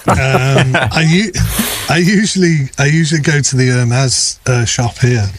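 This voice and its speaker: A man's voice: medium pitch, unhurried, music in the background. A man chatting casually on a podcast as a co-host, laid-back and offhand. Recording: clearly noisy remote link with clicks.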